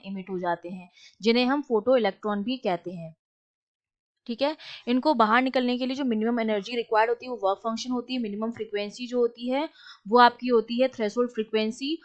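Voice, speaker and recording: A woman's voice: on the high side, lo-fi sound. A woman with an Indian accent teaching physics, explaining step by step, switching between two languages and posing questions she answers herself. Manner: asks rhetorical questions and answers them herself; mixes Hindi and English. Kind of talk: explanatory lesson, working through a problem step by step.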